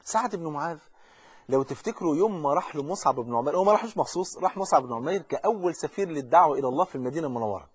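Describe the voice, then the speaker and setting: A man with an Egyptian accent preaches a religious lesson at a very rapid clip, his voice fairly high and husky. He speaks with fervent urgency, pressing the listener directly and often repeating or restarting phrases. The recording is dry and close. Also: lively intonation, no room sound. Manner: frequent repetitions and restarts.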